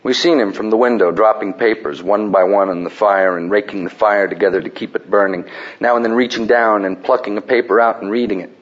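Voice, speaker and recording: An actor playing a wry, dry-witted ballplayer who also narrates, in deadpan, formal phrasing. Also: male voice, fairly low pitch, brisk, highs cut off, natural voice. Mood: narrating. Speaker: narrator and character. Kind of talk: radio drama acting.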